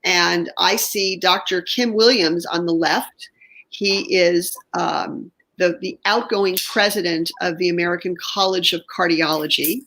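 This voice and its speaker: Woman host, low voice, gravelly fry, chatty and enthusiastic, lots of restarts. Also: steady tone, measured pace, fairly bright tone. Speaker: show host. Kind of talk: online interview show.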